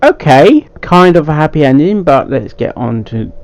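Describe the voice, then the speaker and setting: A man with a fairly high voice gives casual commentary as a reaction host. He speaks off the cuff in short remarks. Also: sing-song, moderate pace, a little mellow, no echo.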